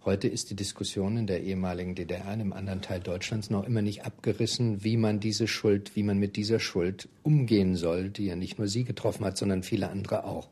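Male interviewer, fairly deep and husky, measured, courteous, formal delivery of prepared questions. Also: steady tone, a little mellow. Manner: measured, polite, formal address.

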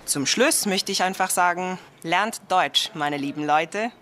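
Bright-voiced woman teacher. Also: on the low side, unhurried. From South Africa. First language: Afrikaans. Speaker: German teacher. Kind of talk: prepared personal self-introduction.

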